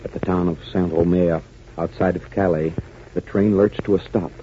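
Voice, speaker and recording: A man's voice: deep voice, fairly even intonation, normal speed, mellow. An American man announcing and narrating a radio adventure serial in a dramatic, emphatic 1940s style. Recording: muffled, hissy 1940s radio recording.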